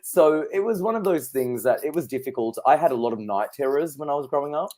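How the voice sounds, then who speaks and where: A man's voice: a little high, lively intonation, quick pace, darkish tone. Chatty man in his thirties. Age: 30s. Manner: chatty, anecdotal, laughs easily. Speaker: psychic guest. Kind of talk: casual interview chat.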